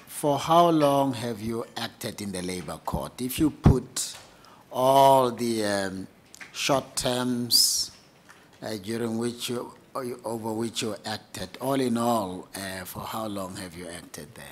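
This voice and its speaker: A man with a mid-pitched voice, questioning a candidate briskly and punctuating her answers with short prompts. He sounds like he is speaking in a large formal room, with faint ambient noise. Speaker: interview panel chair.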